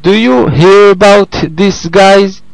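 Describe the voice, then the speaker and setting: A man who is not a native English speaker, teaching informally on a group call in a halting, repetitive way as he searches for words. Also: high voice, creaky, rough recording. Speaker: host and teacher of an online English class. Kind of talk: informal lesson on a conference call.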